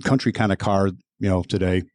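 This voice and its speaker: A man's voice: sits low, fairly even intonation, brisk, darkish tone, no room sound. A man with a Chicago accent, co-hosting a casual podcast chat, joking and laughing easily. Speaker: podcast co-host.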